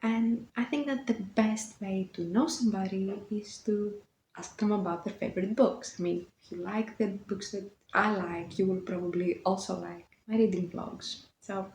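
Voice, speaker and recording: A woman's voice: mid-range voice, fairly even intonation, normal speed, a little mellow, reverberant, a little hiss or hum, rough recording. A Greek woman in her twenties with a Greek accent, speaking English as a second language, chatting casually to camera about books, a bit self-conscious and laughing often. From Greece. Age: 20s. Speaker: book vlogger.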